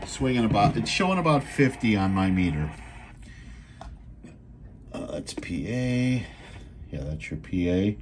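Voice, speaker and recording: An American man giving a casual, unscripted equipment demonstration in a laid-back, halting, matter-of-fact way, recorded indoors on a handheld camera mic. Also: fairly low pitch, expressive, background noise. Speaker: seller demonstrating a restored CB radio. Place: indoors at a radio bench.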